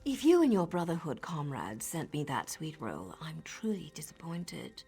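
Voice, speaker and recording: A husky, cool female elf assassin from a video game, her voice breathy and often sinking to a half-whisper. Her pitch moves expressively through dry, confident lines. Faint game music plays in the background. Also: full character voice. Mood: hushed, suspicious.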